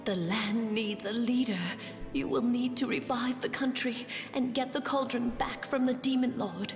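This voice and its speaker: A woman voicing a dying fairy queen mother in a video game, solemn and tender, speaking slowly in hushed, breathy whispers with regal, archaic phrasing. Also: on the high side, fairly even intonation, close to an everyday voice. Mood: grave, urgent. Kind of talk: dramatic video game voice acting. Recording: echoing reverb under game music.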